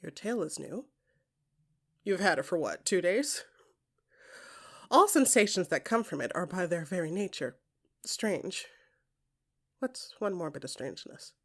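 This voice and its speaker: A woman narrating scripted second-person fiction in an intimate, dramatic way, with a breathy voice that often drops into whispers and deliberate short phrases. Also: lowish voice, expressive, a bit bright. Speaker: podcast creator and narrator.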